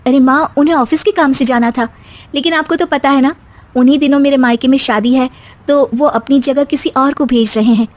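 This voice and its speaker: A woman's voice: high voice, fairly even intonation, a little fast, pitched above the performer's own voice, lightly acted. A woman voicing a young newlywed daughter-in-law in a family tale, polite but pointed and firm.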